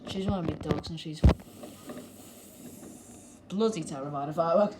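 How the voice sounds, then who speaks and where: A woman's voice: deep voice, moderate pace, heavy background noise. A young woman, rambling and joking casually as a vlogger while filming fireworks at home on a handheld camera. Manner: rambling, self-deprecating, mild swearing. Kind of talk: casual vlog commentary.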